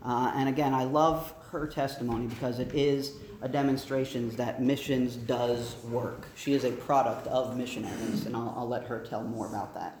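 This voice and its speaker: A man's voice: medium pitch, steady tone, moderate pace, few pauses. Missionary man, plain earnest delivery, echoey hall with faint hiss. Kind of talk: church talk.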